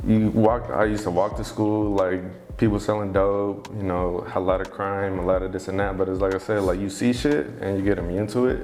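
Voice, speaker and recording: Deep-voiced laid-back Oakland young man. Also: level tone, brisk, few pauses, a little mellow. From Oakland, California. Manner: laid-back, often asks "you get what I'm saying?". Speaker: guest. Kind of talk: casual interview chat.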